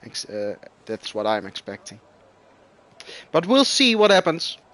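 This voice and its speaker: A man whose first language is Dutch, commentating live on an online racing game with excitable bursts. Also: on the high side, measured pace. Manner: excitable, rising loud bursts at crashes. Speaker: esports race commentator.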